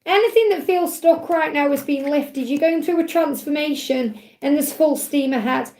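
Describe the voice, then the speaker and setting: A woman, a tarot reader hosting a live Q&A, talking in a chatty, reassuring way while her voice grows croaky. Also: sits high, normal speed, darkish tone, roomy sound, slight background noise. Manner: chatty, reassuring, repeats phrases, ends points with "okay?". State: voice getting croaky. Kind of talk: live-stream tarot reading, reading out chat messages.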